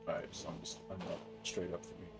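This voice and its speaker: A man's voice: sits low, little pitch movement, quick pace. A man chatting casually on a livestream while he plays a game. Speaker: game streamer. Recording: loud game music behind voice.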